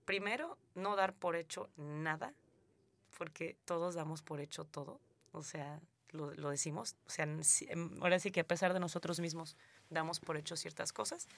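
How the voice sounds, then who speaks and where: A woman's voice: sits low, normal speed, gravelly. Mexican woman reflecting in interview. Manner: reflective, earnest, many fillers and restarts. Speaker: actress guest. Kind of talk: radio interview.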